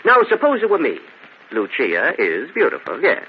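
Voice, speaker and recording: An actor playing an arrogant, sneering, pompous Italian braggart in a theatrical old radio drama. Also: male voice, mid-range voice, huge pitch swings, normal speed. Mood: mocking, boastful. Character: arrogant, mocking, wealthy Italian braggart, smoothly condescending. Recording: muffled, hissy old radio drama recording.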